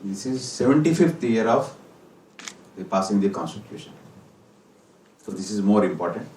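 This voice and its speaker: A man with an Indian accent giving a formal ceremonial speech as a senior executive, speaking deliberately in short, halting phrases. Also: on the low side, lively intonation, darkish tone. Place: corporate hall. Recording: echoey hall, distant mic, faint noise.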